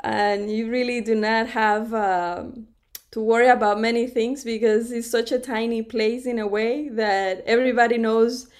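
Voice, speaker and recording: A woman from Cuba, a guest on a podcast. She speaks in a slightly high voice and tells her story in a relaxed, conversational way, with frequent laughs and false starts. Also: moderate pace. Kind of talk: conversational interview.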